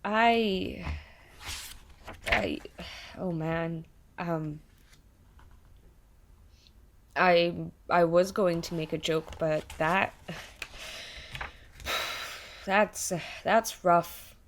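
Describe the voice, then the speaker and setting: A woman's voice: on the low side, extremely slow, long pauses. A woman playing a chatty, sarcastic, easily flustered AI program in an audio drama, talking casually and stammering when rattled. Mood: awkward, shaken. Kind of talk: audio drama monologue in casual second-person address.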